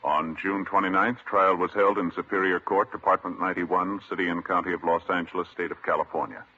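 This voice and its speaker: A man's voice: low voice, level tone, a little fast, pushed and bright, husky, full character voice. A man as a solemn, authoritative American announcer in a formal old-time radio crime program. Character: solemn, authoritative male announcer reading court results. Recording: dull old radio recording, faint noise.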